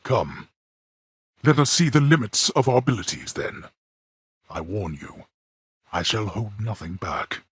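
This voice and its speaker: Stern death god, game villain, very husky, dramatic swings in pitch, archaic disdainful diction, close dry sound. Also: male voice, very animated, a little slow, long pauses, no room sound, heavily acted. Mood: stern menace. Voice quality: husky, gravelly. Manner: formal, archaic, menacing, disdainful. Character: grim, imperious god of the dead and stern father. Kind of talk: theatrical video game villain acting.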